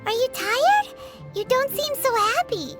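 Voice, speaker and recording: A tiny fairy companion in a video game. Her voice is extremely high and swoops with animation, opinionated yet caring. Music plays behind her. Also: lively intonation, unhurried. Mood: concerned. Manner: refers to herself by name.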